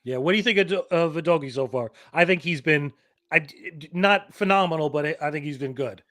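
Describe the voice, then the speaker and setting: A laid-back man with a slightly raised voice, chatting easily about the match. He speaks in a relaxed, conversational way and ends his lines with "man" again and again. His talk stays casual as he reacts to the play beside the host. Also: fairly high pitch, a little fast.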